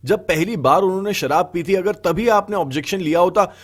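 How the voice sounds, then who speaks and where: A man voicing a hurt, pleading adult son in an animated story, speaking with earnest reproach. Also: high-pitched, brisk. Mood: frustrated. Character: hurt, pleading adult son confronting his drinking father. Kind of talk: animated story dialogue acting. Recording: background music under the voice.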